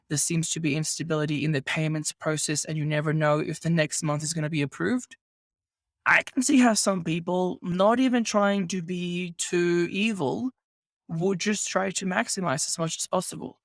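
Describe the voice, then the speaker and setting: A man's voice: on the high side, fairly even intonation, dry recording, clean studio sound. Venezuelan-born man hosting a podcast. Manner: thoughtful, measured, hedging phrasing. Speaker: podcast host. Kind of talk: casual interview conversation.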